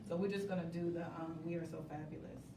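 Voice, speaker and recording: A woman's voice: fairly low pitch, fairly even intonation, quick pace, background noise. A woman giving informal thank-you remarks to a group, as the one leading it. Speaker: play's leader giving thanks. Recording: echoey room, distant mic, people behind.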